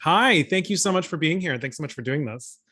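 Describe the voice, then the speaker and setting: A man's voice: fairly high pitch, lively intonation, fast talker, sharp tone. Upbeat man hosting a video call. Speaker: host. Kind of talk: online interview chat. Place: home room, indoors.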